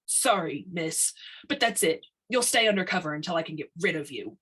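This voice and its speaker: A woman voicing a gruff, stubborn, hot-tempered male construction boss who barks blunt orders in a story reading. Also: deep voice, lively intonation, moderate pace, fairly bright tone, heavily acted. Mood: stern. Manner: blunt, bossy, barking orders.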